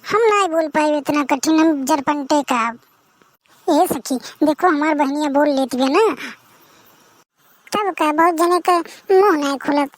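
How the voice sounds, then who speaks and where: A woman's voice: sits high, a little slow, pauses often, dry recording. A woman with a rural Awadhi accent voicing garrulous, boastful village women in a bantering matchmaking comedy cartoon. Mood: boastful, chatty. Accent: Awadhi, rural northern India.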